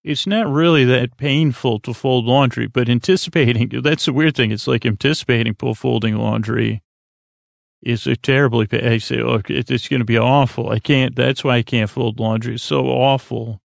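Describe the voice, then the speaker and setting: A man with a gravelly, creaky voice at a middling pitch, recorded close and dry. He hosts a bedtime podcast, wandering through his thoughts with stammers, repeated words and sentences left hanging. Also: no echo. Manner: meandering, halting, stammering, trails off mid-thought; acts out imagined back-and-forth dialogue. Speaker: podcast host. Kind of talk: rambling bedtime monologue.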